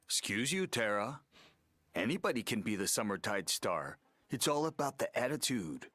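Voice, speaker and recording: A flamboyant, proud islander man in a cozy game. He is playfully indignant, his pitch swinging widely, recorded very dry. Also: sing-song, normal speed, a bit bright, dry recording. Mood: mock offended. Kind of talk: video game voice acting.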